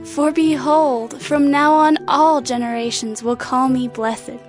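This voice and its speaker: A humble young maiden in an audio Bible drama. Her high voice recites with lyrical devotion, and music plays clearly behind her. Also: fairly even intonation, slow pace. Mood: reverent joy.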